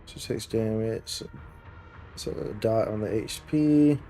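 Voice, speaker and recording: Low-voiced man streaming a game. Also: slow pace, warm tone, a little hiss or hum. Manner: slangy, mildly profane, thinking aloud. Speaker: livestreamer playing an action game. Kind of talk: casual gameplay commentary. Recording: game music behind the voice. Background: game music.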